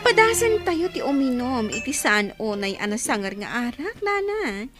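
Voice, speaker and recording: A woman voicing an excitable, cheeky villager in an Ilocano radio comedy, with an Ilocano Filipino accent. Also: a little high, normal speed, loud music behind the voice.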